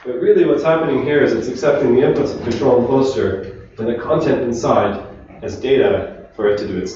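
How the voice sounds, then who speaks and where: A man from Finland giving a relaxed technical talk to a meetup audience, chatting with the crowd. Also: medium pitch, a little hiss or hum. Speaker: conference speaker. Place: large echoey meetup room. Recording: distant, roomy, dull room recording.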